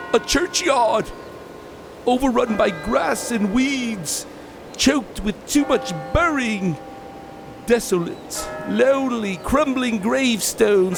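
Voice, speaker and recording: Radio drama: terrified old miser, slow and gravelly, pleading, remorseful. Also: male voice, very high-pitched, exaggerated voice. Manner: halting, pleading, melodramatic. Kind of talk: radio play acting.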